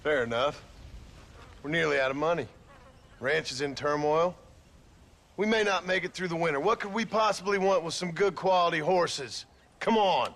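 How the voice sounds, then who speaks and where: An actor playing a stern, gruff rancher and reformed outlaw in a western video game, with an American Western drawl and a scolding, sardonic manner. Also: male voice, a little high, moderate pace, pauses often, fairly bright tone. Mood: sarcastic. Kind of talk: video game dramatic acting. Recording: outdoor ambience with horse hooves.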